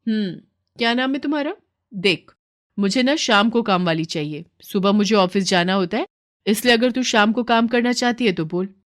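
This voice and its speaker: Woman acting employer lady, proud, bossy, brisk, kindly, medium pitch, storybook. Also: animated, lightly acted.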